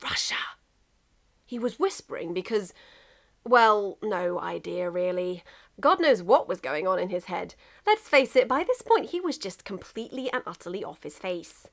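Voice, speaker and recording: Woman narrating a story at medium pitch. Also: sing-song, normal speed, vocal fry. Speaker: narrator, fan fiction reader. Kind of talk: expressive scripted story narration.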